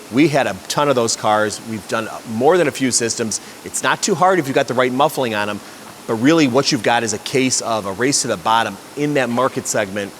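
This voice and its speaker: A man's voice: mid-range voice, fairly bright tone. Casual male explainer over background noise. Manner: conversational, explanatory. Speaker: host, exhaust shop fabricator. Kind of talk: casual question-and-answer explainer.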